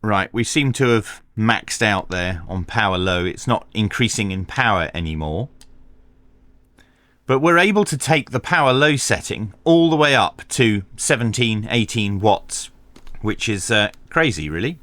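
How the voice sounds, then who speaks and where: Man with lively, varied pitch. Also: a little slow, dry recording. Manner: methodical, explanatory. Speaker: radio reviewer presenting a video. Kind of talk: hands-on product review commentary.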